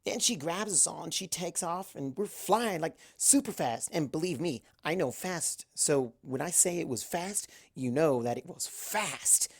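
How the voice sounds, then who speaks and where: A boastful young pegasus mare from a pony story, put on by a narrator. The delivery is casual and emphatic, pitched a bit above an ordinary voice. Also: animated, a little slow, vocal fry, few pauses, breathy edge, no echo, clean studio sound, exaggerated voice. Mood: excited, boastful.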